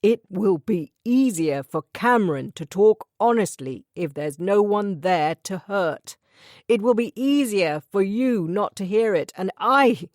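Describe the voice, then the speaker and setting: A woman's voice: sits low, slow, darkish tone, slightly rough, no room sound, studio quality, heavily acted. Give me a woman doing a guarded storybook man, clipped and strained. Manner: tight, clipped, holding back emotion.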